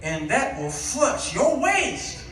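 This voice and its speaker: A man preaching an impassioned sermon, exhorting his listeners with pauses and rhetorical questions. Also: very high-pitched, huge pitch swings, fairly bright tone. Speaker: guest preacher. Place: large echoey indoor church with congregation. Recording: echoey church, distant mic, congregation responding.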